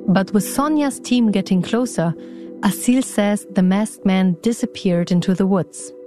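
Mellow-voiced woman reporter narrating. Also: medium pitch, soft background music. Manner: measured, calm storytelling. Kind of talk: scripted news narration.

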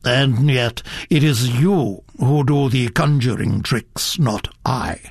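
A calm, enigmatic stranger speaking slowly and softly, every word measured, as a male reader voices him. The sound is close and dry, with no room around it. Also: medium pitch, vocal fry, husky, no echo, exaggerated voice. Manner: slow, soft and measured. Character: tall dark enigmatic man, calm and mysterious.

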